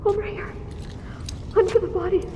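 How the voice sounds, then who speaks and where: High-voiced, frightened woman survivor. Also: fairly even intonation, moderate pace. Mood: panicked, pleading. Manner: pleading, shaken. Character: frightened, freezing, pleading female survivor trapped. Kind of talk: audio drama acting. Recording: muffled, long reverb, background rumble.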